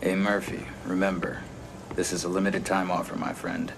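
Gravelly, sly prison schemer. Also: male voice, low voice, flat delivery, normal speed, background noise. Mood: sly, menacing. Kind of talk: video game acting.

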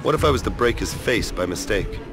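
A man's voice: lowish voice, lively intonation, a little fast, over music, close to an everyday voice. An actor playing a cynical, hard-drinking space prospector in a video game, with a sardonic, world-weary tough-guy manner. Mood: scornful, mocking. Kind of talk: video game cutscene acting.

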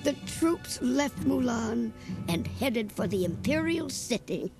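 Female storybook reader, expressive and animated, music under. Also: on the high side, slow. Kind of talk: read-aloud storybook narration.

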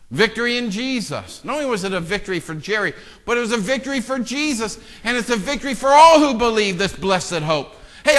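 A male pastor with a bright, forceful, fairly high voice preaches in a church sanctuary, his words ringing in long reverb. He drops to hushed, self-mocking asides to the congregation, then surges into loud, fervent calls. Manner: self-deprecating joking with the congregation. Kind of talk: sermon.

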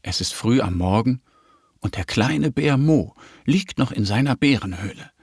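A man narrating a scripted children's story in a careful, expressive way. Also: mid-range voice, moderate pace, husky, no room sound, clean studio sound.

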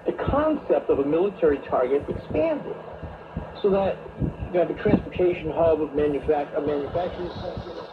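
A man hosting a radio talk show, speaking in a deliberate, reflective monologue with hesitant phrasing. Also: a little high. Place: radio studio, heard through a shortwave receiver. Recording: noisy shortwave radio broadcast, tinny narrow band.

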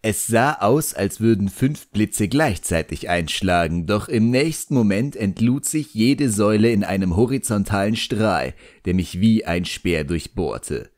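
A man narrating an audiobook in the first person, expressive, wry and theatrical. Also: on the low side, measured pace, hardly any pauses, no room sound, clean studio sound.

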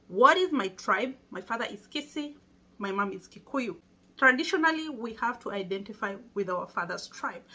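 A woman's voice: on the high side, bright, forceful tone. A young Kenyan woman with a Kenyan accent, talking casually to camera in a small indoor room and patiently explaining things. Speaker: vlogger.

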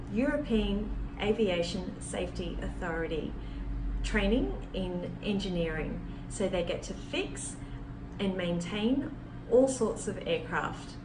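A woman's voice: mid-range voice, recorded from a distance. A woman, a business development manager, gives a welcoming promotional talk to camera in an indoor office. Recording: roomy sound from off-mic, clear background noise.

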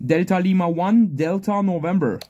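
High-pitched man calling on ham radio. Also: moderate pace, muffled recording, noisy background. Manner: clipped, repetitive callsign spelling with radio phonetics. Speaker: amateur radio operator. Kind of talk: amateur radio contest exchanges. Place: outdoors at a garden picnic table.

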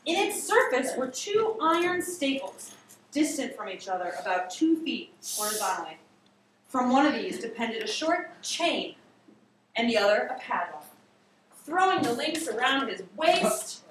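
A high-voiced woman reads a story aloud with big swings in pitch. Her delivery is theatrical and emphatic, with drawn-out words. She is recorded from across an echoey classroom. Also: very animated, distant mic, noisy background. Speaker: teacher reading aloud to a class. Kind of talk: dramatic story reading. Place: classroom, indoors. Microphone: far camera across the room.

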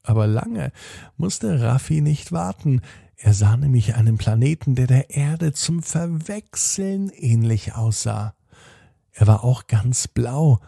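A man hosting a children's bedtime podcast, narrating a story in a soft, slow, soothing way and speaking directly to the children. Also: medium pitch, animated, creaky, husky, no echo, clean studio sound.

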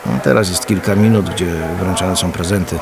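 A man's voice: sits low, fairly even intonation, a little fast, noisy background, natural voice. An actor playing a polite, earnest policeman loyal to his men, speaking formally and hesitantly in a radio drama. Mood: matter-of-fact.

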